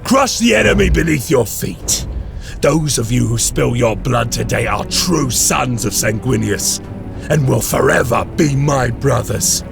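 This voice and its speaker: An actor playing a stern, zealous space marine commander in a video game, speaking in grand, solemn oratory. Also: male voice, medium pitch, expressive, a little slow, gravelly. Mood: furious, rallying. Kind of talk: dramatic video game cinematic acting. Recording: dramatic music behind the voice.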